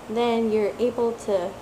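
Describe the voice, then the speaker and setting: A woman for whom English is a third language, talking slowly and reflectively as a tarot reader, repeating words for emphasis and sometimes searching for them. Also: fairly high pitch, background noise. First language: not English; English is her third language. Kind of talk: slow reflective monologue.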